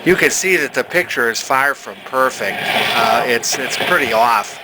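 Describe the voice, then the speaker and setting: An older man giving a casual, unscripted hobbyist demonstration, talking in a folksy, halting way as he thinks aloud. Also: mid-range voice, animated, very noisy. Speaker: hobbyist demonstrating a vintage television he repairs.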